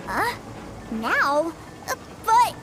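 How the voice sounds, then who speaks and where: A woman's voice: very high voice, big pitch swings, extremely slow, loud music behind the voice, heavily acted. A woman playing an eager, innocent little boy, a doting younger brother, in a video game. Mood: uneasy. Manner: childlike, eager, wide-eyed. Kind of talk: video game character acting.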